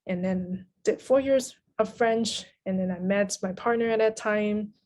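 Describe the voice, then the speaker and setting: A woman's voice: mid-range voice, a little slow, darkish tone. A woman from Taiwan speaking as a guest in a casual interview, explaining things in a conversational way. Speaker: guest, literary translator.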